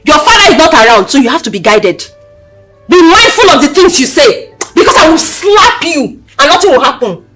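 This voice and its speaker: A woman's voice: high-pitched, very animated, normal speed. A woman with a Nigerian accent playing an indignant, outspoken young village daughter, insistent and emphatic in a film drama. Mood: angry, scolding. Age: young adult. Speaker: actor. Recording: background music under the dialogue.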